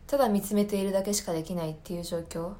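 A woman's voice: mid-range voice, steady tone, very fast, dark tone. A woman podcast host talking casually on her own, explaining things thoughtfully and searching for her words as she goes. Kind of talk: casual solo recommendation talk.

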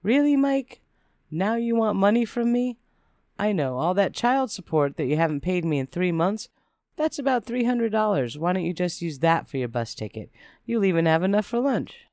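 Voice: mid-range voice, sing-song, moderate pace, darkish tone, no echo, studio quality, voice pushed up. A narrator voicing an exasperated, sarcastic ex-wife holding firm, testy in manner, in a personal story. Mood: indignant, sarcastic.

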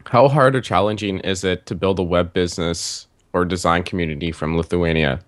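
A man hosts the interview, asking questions and offering short, encouraging replies. His voice is very deep. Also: steady tone, a little fast. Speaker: interviewer, podcast host. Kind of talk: casual interview.

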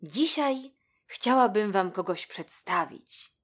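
A woman's voice: mid-range voice, expressive, takes it slowly, voice pushed up. Woman plays encouraging female spider teacher for storybook audio: courteous, firm, melodic delivery. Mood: polite, instructive. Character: kindly bespectacled female spider schoolteacher. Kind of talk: children's storybook character voice.

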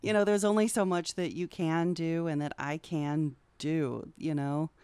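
A woman's voice: low voice, steady tone, measured pace, dark tone, clean tone, no room sound. A middle-aged woman talking candidly and informally as a guest in a conversational podcast interview. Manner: candid, informal, swears casually. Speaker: podcast guest, social worker.

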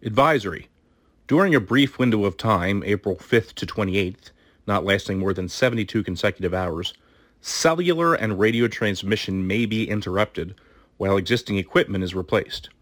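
A man's voice: on the low side, expressive, moderate pace, fairly bright tone, no echo, clean studio sound. A man co-narrating an audiobook, reading out a formal public notice.

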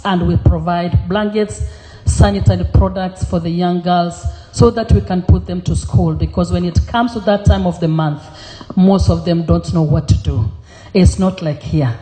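Earnest woman preaching, mellow voice. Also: lowish voice. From Kenya. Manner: earnest, pausing with fillers. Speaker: guest preacher. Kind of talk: testimony sermon. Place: church.

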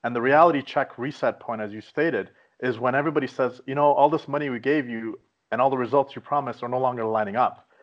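Dull lo-fi recording, male podcast host, very quick pace, emphatic explanatory delivery, conversational. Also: medium pitch. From Afghanistan. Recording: dull lo-fi audio.

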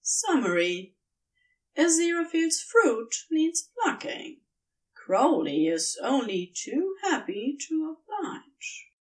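A woman narrating a scripted story as a reader, in a measured, expressive storytelling manner. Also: high voice, recorded from a distance, rough recording. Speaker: podfic reader.

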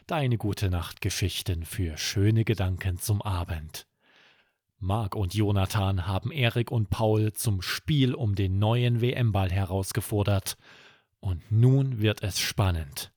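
A man narrating a scripted children's bedtime story as podcast host, with expressive, dramatic storytelling. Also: lowish voice, slow, no room sound, clean studio sound.

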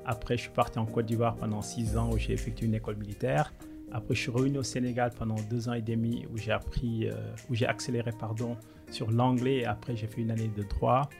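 A man's voice: mid-range voice, little pitch movement, fast, gravelly, music in the background. A man in his thirties from Senegal, a podcast guest telling his career story in an earnest, motivational way. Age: 30s. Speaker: podcast guest, business consultant. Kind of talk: conversational interview.

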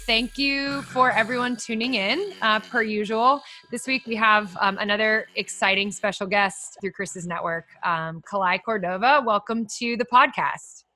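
A woman's voice: medium pitch, moderate pace, hardly any pauses, pushed and bright, faint music behind. A woman hosting a podcast, upbeat and chatty, with plenty of filler words as she introduces and questions a guest. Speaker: podcast host. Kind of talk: casual podcast interview.

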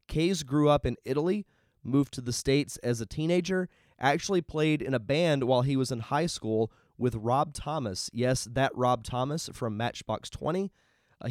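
A man's voice: medium pitch, a little mellow, no echo. A man hosting a podcast in a conversational interviewing style, speaking close to the microphone. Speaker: podcast host.

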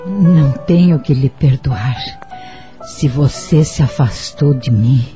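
A woman playing a weak, ailing, remorseful mother in a radio drama, speaking haltingly with tender regret. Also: extremely low pitch. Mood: tender, regretful. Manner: halting, slow. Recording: background music under the voice.

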